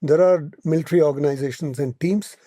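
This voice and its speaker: Mellow, higher-pitched Indian-accented man. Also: fairly quick, no echo, clean studio sound. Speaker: podcast guest, policy analyst. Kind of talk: explanatory podcast discussion.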